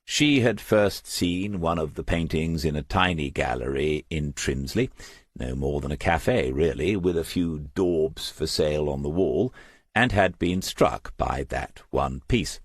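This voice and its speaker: Male narrator, low husky voice, scripted crime audiobook, dry close recording. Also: expressive, a little slow, no echo.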